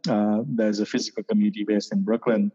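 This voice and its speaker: Hesitant low-voiced man, muffled. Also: level tone, fast talker, warm tone. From Sri Lanka. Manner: hesitant, many fillers and restarts. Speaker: startup accelerator founder, guest. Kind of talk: casual interview answers. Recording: dull lo-fi sound.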